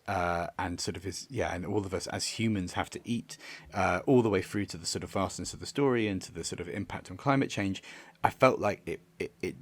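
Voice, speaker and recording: A man speaking as a theatre director in a reflective interview, halting and often repeating words as he works out his thoughts. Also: low voice.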